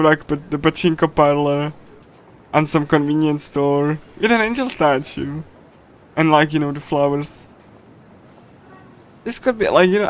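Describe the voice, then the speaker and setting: A man streaming a casual visual novel readthrough, chatting in a halting, giggly, enthusiastic fan's way. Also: a little high. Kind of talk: casual livestream chat with loose readthrough. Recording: music playing behind the voice. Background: game soundtrack music.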